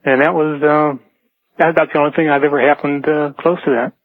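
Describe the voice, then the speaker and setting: A man's voice: a little high, steady tone, fairly quick, no echo. Tentative man on a dry phone line. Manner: tentative, matter-of-fact. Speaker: radio show caller. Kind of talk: unscripted personal anecdote.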